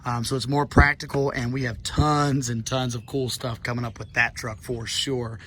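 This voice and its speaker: A man hosting a car channel vlog, his voice hoarse from laryngitis, rambling with many ums as he talks beside a pickup truck outdoors. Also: mid-range voice, little pitch movement, moderate pace, a bit bright, a little hiss or hum. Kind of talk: casual walkaround vlog.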